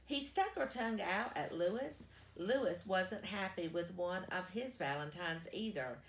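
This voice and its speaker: Female children's storyteller, lowish voice, expressive and deliberate narration, roomy echo. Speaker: storyteller reading a children's book aloud. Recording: echoey, roomy sound.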